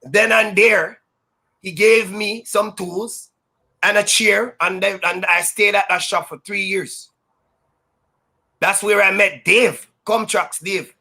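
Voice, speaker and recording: A Jamaican man who works as a sound system DJ, telling stories in a loud, high-energy, boastful way that is full of patois. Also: steady tone, takes it slowly. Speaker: sound system DJ, interview guest. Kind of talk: casual storytelling interview.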